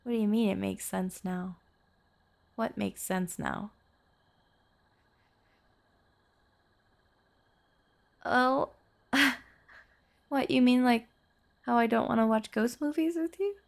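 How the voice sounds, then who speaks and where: A woman acting an affectionate, playful young girlfriend in an intimate audio roleplay, speaking softly and chattily. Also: a little high, a little slow, gravelly, long pauses. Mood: teasing. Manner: soft, tender, chatty with teasing asides.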